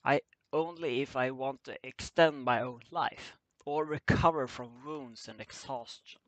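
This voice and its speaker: Slow, halting man reading a story aloud. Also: mid-range voice, a bit bright, dry recording. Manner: halting, stumbling over words. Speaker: amateur fan fiction reader. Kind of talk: scripted story narration, read aloud.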